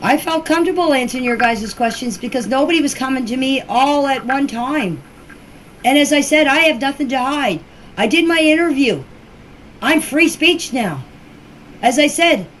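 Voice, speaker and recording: A woman's voice: high voice, animated, moderate pace, a little hiss or hum, poor audio. An older woman answering questions informally in a plain-spoken, insistent, repetitive way, recorded as an amateur voice clip. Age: older, grandmother. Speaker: relative speaking out about a missing children case.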